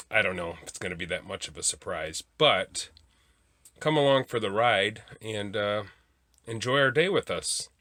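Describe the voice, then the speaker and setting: A man talking casually to camera as a travel vlogger, informal and halting, thinking aloud as he goes. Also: on the low side, bright, forceful tone, no echo. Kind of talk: casual vlog talk to camera. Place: outdoors in a cemetery for much of it.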